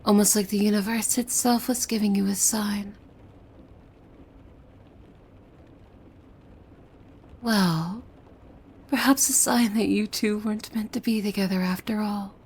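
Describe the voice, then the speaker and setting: A woman playing a possessive, devoted demon maid in an ASMR roleplay, coy and formal, with a soft breathy voice that often slips into whispers. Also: mid-range voice, fairly even intonation, normal speed, a little hiss or hum, lightly acted. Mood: possessive, sly. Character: possessive, devoted young female demon maid, sweetly menacing. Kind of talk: ASMR roleplay, scripted monologue to the listener.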